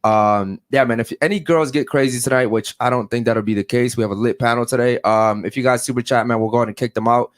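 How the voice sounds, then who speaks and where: Male podcast host, relaxed and chatty, dry close mic. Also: medium pitch, quick pace, hardly any pauses, no echo. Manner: laid-back, says man and shout out a lot. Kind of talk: casual panel chat.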